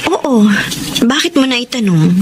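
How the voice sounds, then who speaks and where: A woman's voice: fairly high pitch, lively intonation, unhurried. An actress playing a polite, hesitant young single mother in a Tagalog radio drama. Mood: pleased. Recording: faint background noise.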